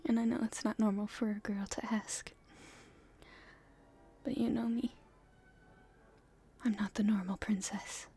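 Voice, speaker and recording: A woman playing a playful young princess in love, speaking softly and breathily in an intimate ASMR romance roleplay, with gentle, halting delivery. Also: mid-range voice, flat delivery. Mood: soft, confiding. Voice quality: breathy, whispery, soft.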